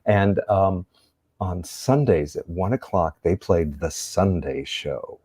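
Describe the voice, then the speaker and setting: Older man, very low voice, dry close sound, reflective rambling storyteller, from San Diego. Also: takes it slowly, darkish tone, slightly rough, dry recording. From San Diego, United States. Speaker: guest, theater actor. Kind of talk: casual interview chat, storytelling.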